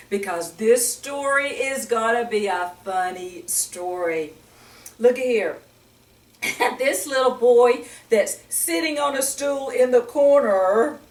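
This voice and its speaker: An older woman talking to young children as a storytime reader, in a gentle, teacherly manner full of questions to the listener. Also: a little high. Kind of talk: storytime talk to young children with Bible lesson. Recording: roomy echo, distant microphone.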